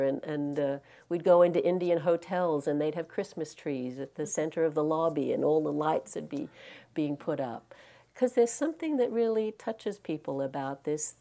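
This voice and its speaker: A woman from Australia with a softened Australian accent, giving a gentle, reflective dharma talk as a meditation teacher, with frequent ums and restarts. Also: low-pitched. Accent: Australian, mixed with American. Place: meditation hall in California.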